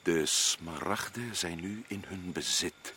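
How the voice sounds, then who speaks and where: A man's voice: low voice, slow pace, sharp tone, a little hiss or hum, heavily acted. An actor playing a grim, guarded male adventurer recounting events in a radio drama. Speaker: narrator and lead character Paul.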